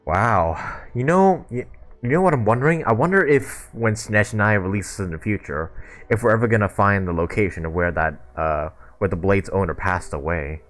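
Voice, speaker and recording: A man with a fairly low voice chats through a video game as he plays it. He is casual, slangy and joking in his commentary, with faint game music underneath. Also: moderate pace, fairly bright tone. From Canada. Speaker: gaming YouTuber. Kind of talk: casual let's-play commentary.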